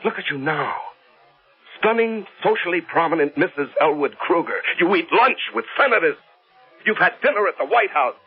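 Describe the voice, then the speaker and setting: Husky, obsessive American grieving son. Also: male voice, a little high, animated, moderate pace, phone-quality sound. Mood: impassioned, reproachful. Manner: intense, insistent, repeating himself. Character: obsessive, grieving self-made businessman son. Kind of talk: old-time radio drama acting. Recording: band-limited old radio recording with music.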